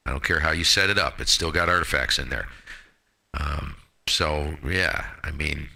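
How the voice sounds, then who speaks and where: A man's voice: rumbling low, normal speed, vocal fry, sharp tone. A man chatting casually on a ham radio net in a blunt, emphatic, slangy way, giving strong opinions. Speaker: amateur radio operator hosting a live stream.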